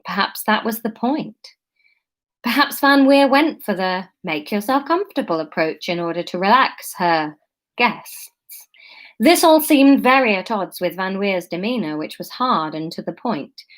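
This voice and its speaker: A woman reads her own adventure story aloud in a relaxed, conversational way, stopping now and then to chat and fix her slips. Her voice sits at an everyday middle pitch for a woman. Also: measured pace. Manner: chatty, breaks off to comment and correct herself. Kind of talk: reading a story aloud with casual asides.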